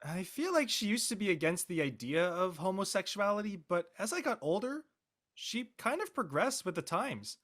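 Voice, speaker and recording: A man's voice: high-pitched, very animated, moderate pace, fairly bright tone, heavily acted. A man playing an easygoing, wry teenage boy, speaking casually in a drama read. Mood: thoughtful.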